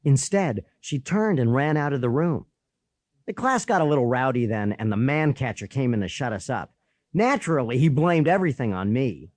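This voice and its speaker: A man narrating a children's story in the first person, with an animated, boyish storytelling manner. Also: mid-range voice, moderate pace, dry recording. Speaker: audiobook narrator.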